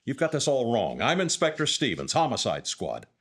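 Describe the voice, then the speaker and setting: A man's voice: medium pitch, wildly swooping pitch, moderate pace, a bit bright, husky, full character voice. A man playing a bossy, no-nonsense homicide inspector in a live audio drama, stern and plain-spoken. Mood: firm. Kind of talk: live radio-style audio drama acting.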